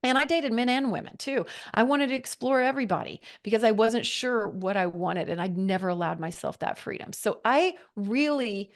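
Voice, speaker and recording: A woman's voice: a little high, normal speed, few pauses. Earnest woman in her forties, reflective. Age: 40s. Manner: earnest, reflective, with lively quoted inner thoughts. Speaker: podcast guest. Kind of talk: candid personal storytelling.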